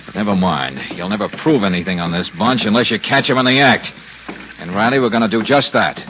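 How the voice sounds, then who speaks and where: Actor as a low, animated radio private eye. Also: male voice, close to an everyday voice. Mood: wry, explaining. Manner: brisk, wisecracking, self-assured. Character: confident, wry male private detective. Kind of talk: 1950s radio detective drama acting. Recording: dull, noisy old radio recording.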